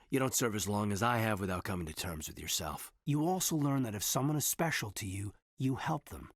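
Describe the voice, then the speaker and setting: An actor playing an earnest, guarded soldier in his thirties in a video game, speaking in a husky, measured, introspective way. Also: medium pitch, no echo, clean studio sound. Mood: tender, hesitant. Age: 30s. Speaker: video game companion character. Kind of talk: naturalistic game dialogue acting.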